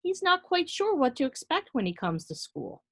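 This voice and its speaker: Female, slightly high voice, preschool teacher. Animated read-aloud for little kids, simple direct phrasing. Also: moderate pace. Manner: animated, addresses small children directly, simple explanatory phrasing. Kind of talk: read-aloud to young children with asides and questions.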